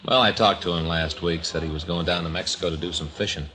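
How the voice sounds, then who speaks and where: A wry laid-back cop. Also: male voice, deep voice, fairly even intonation, fast, bright, forceful tone, a little hiss or hum. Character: easygoing male police colleague. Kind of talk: 1950s radio drama acting.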